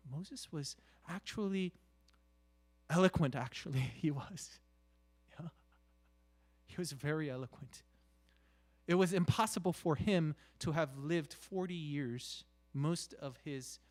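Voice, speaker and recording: Male preacher, slightly high voice, very unhurried, conversational yet emphatic, halting delivery with rhetorical questions. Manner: halting, with repeated "you know" and rhetorical questions. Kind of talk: conversational sermon.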